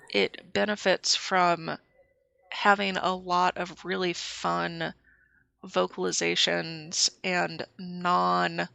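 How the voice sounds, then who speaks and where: A woman co-hosting a podcast in casual chat, a bit sick, speaking slowly and deliberately while searching for words. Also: lowish voice, vocal fry, sharp tone, no room sound. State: sick with a cold. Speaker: podcast co-host.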